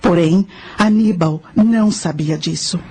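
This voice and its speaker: An actress playing a knowing spirit guide, telling ancient history and teaching in a measured, solemn way in a radio drama. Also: female voice, fairly low pitch, warm tone, breathy edge, soft background music. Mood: instructive. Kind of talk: scripted radio drama narration.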